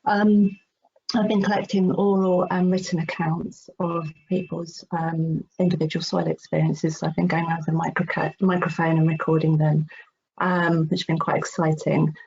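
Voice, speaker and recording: Woman w lots of vocal fry chatting thru a webinar talk, hesitant, faint noise, muffled. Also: on the low side, little pitch movement, normal speed, a little mellow. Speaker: presenter, researcher. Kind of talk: informal online presentation. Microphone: video call microphone. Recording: dull video call with background noise.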